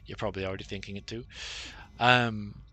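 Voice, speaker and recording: A man giving rambling, self-deprecating commentary over his own gameplay, with many fillers and a flat, monotonous delivery. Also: lowish voice, a little fast. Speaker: gaming channel host.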